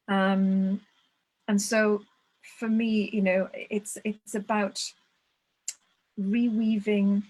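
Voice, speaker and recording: A woman speaks in a calm, reflective way, her pitch staying quite level as she circles back over her words. She sounds like a guest on a video call, taking her time to find each phrase. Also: low-quality recording. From Wales. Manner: thoughtful, restarts phrases, steady even delivery. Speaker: guest speaker on ritual tradition. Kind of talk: reflective conversation.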